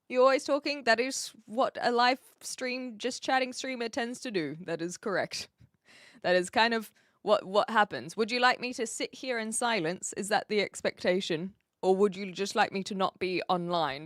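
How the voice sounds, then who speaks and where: A woman's voice: mid-range voice, a bit bright, no room sound, studio quality. A young woman from New Zealand with a blended, British-leaning accent, chatting casually on a live stream and answering viewers' messages. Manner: chatty, rambling, laughs often, answers chat directly.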